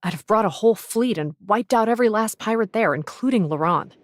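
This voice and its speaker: An actress playing a proud, principled young fleet officer in an anime game, composed, frank and firm. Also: female voice, mid-range voice, animated, a little fast, no echo, clean studio sound, lightly acted. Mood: defiant, cold. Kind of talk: English anime game voice acting.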